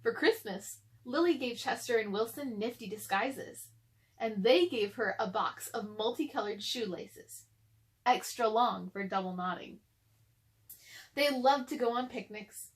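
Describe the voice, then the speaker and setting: A woman reads a children's storybook aloud as narrator. Her voice is fairly high, and she delivers it as read-aloud narration for young listeners. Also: measured pace. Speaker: storybook reader.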